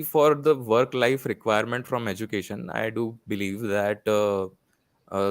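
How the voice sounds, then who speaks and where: A man's voice: fairly low pitch, smooth, no room sound. A man with an Indian accent speaking as a panel guest, formal and wordy, in an earnest discussion on an online call. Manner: formal, wordy, long-winded phrasing.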